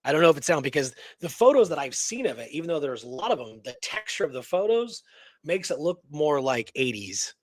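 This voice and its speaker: A man's voice: on the high side, very animated, fairly quick, no echo. Casual man co-hosting, dry close sound. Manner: conversational, checks in with "right?" and "you know". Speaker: radio show co-host. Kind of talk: casual discussion and retelling of historical research.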